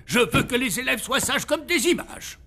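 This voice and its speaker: A man with a creaky voice, playing an excitable, exasperated cartoon character who is quick to scold. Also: extremely high pitch, exaggerated voice. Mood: stern. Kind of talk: animated cartoon dub acting. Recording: loud music behind.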